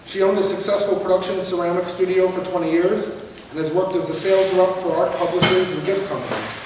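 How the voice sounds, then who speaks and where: Man, event host, high pitch, loose delivery with repeats, echoey hall, mic far off, background noise. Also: a little fast. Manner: loose, repeats words, says "you know". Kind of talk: event announcements and speaker introduction. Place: event hall in an arts building.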